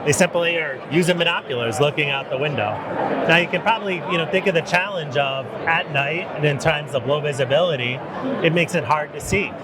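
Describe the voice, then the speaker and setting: Man, official giving a demo, confident with "you know" asides, slightly high voice, loud ambient noise. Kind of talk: product demonstration.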